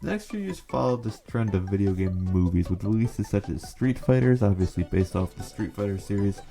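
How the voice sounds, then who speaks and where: A man with a fairly low voice delivers scripted video essay commentary. He is opinionated and sarcastic, and music plays behind him. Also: animated, brisk, gravelly, warm tone.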